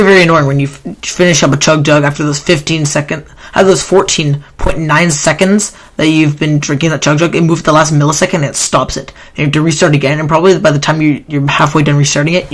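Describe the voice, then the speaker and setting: A man with a sore throat and a nasal voice, rambling through a gaming countdown as a YouTuber, often correcting himself mid-sentence. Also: a little high. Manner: rambling, self-correcting, complaining. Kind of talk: casual countdown commentary.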